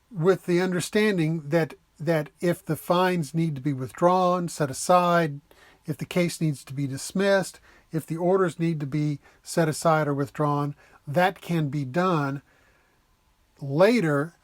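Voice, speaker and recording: Male lecturer, slightly high voice, close dry sound, methodical plain-spoken explainer read. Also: no room sound. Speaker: lecturer explaining a legal case.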